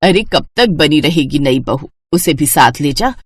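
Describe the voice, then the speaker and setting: A woman's voice: deep voice, animated, unhurried, breathy edge, pitched below the performer's own voice, full character voice. A woman voicing a thrifty older mother-in-law in a moral story cartoon, watchful and fond of nagging household advice. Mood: instructive.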